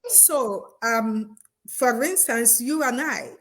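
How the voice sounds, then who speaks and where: Slow-talking woman host. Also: on the high side, lively intonation, a bit bright. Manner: halting, friendly, many fillers. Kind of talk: interview over video call.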